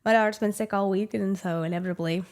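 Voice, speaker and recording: A woman's voice: medium pitch, steady tone, fast talker, a little mellow. A woman in her thirties hosting a casual book review channel, chatty and gushing, her voice a little off from a small cold. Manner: chatty and gushing, many "like" fillers.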